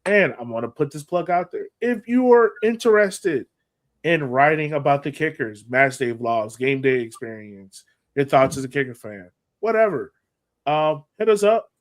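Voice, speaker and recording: A man's voice: on the high side, lively intonation, normal speed. A man hosting a casual soccer podcast, chatting in a slangy, informal way. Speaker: podcast host.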